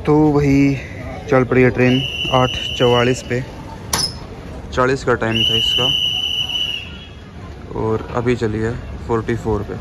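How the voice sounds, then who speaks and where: Loud train and station noise surrounds a man. His pitch is average and he talks casually, like a travel vlogger updating friends. His phrasing is informal and often repeated. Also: level tone, measured pace, long pauses. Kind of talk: casual vlog chat to camera. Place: railway platform and inside a train. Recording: noisy railway station and train ambience.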